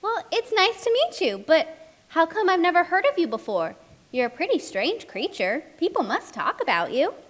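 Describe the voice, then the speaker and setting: A woman's voice: very high-pitched, very animated, normal speed. A woman playing a friendly, curious narrator in a children's puppet show, telling the story expressively and chatting with characters.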